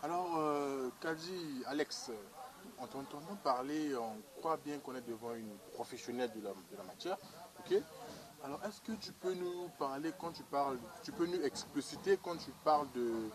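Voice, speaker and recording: Congolese male interviewer, slightly high-pitched voice, conversational delivery, clearly audible crowd behind. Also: expressive, normal speed, poor audio. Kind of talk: conversational interview with some Lingala mixed in. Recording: busy chatter behind the voice.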